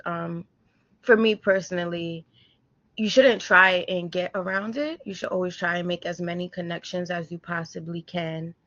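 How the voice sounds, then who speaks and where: A woman with a slightly low, flat-pitched voice gives a casual answer as a participant. She repeats phrases for emphasis. The sound is dull and close. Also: dry recording. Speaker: audience participant. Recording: dry but dull lo-fi sound.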